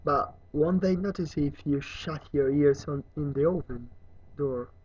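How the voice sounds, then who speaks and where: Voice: medium pitch, measured pace, darkish tone, breathy edge. A reader voicing a polite, awkward young boy wizard in a storybook, with a hesitant, tactful manner. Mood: curious. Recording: muffled, echoey room recording.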